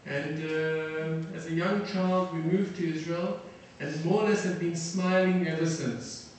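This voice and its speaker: A man's voice: on the high side, steady tone, recorded from a distance. Middle-aged man from South Africa lecturing an audience, hesitant with many fillers, in a reverberant hall with faint noise. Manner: halting, many fillers and restarts. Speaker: defense attaché giving a lecture.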